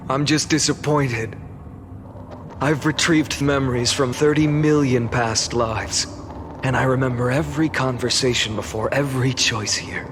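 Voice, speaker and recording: An actor playing a weary, defiant young hero in a game cutscene, speaking solemnly and deliberately with scornful resolve. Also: male voice, mid-range voice, noisy background, close to an everyday voice. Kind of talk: dramatic game cutscene acting.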